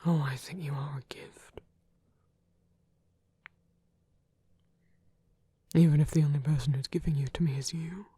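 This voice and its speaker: A performer voicing a gentle, protective vampire priest in a soft, husky whisper, tender and hesitant, as intimate ASMR roleplay. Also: mid-range voice, fairly even intonation, fast talker, dry recording. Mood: tender, reassuring.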